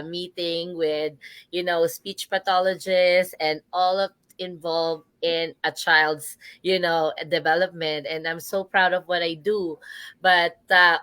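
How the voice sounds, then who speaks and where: Bright-voiced Filipino woman, earnest and chatty. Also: lowish voice, clear, resonant tone, dry recording. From Philippines. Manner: earnest, chatty, often ends with "right?". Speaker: guest, business coach. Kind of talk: live interview, personal story.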